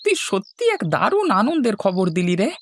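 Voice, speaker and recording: A woman's voice: medium pitch, huge pitch swings, normal speed. A woman voicing an affectionate, hearty village father and farmer in a cartoon. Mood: glad, earnest. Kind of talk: Bengali cartoon dubbing.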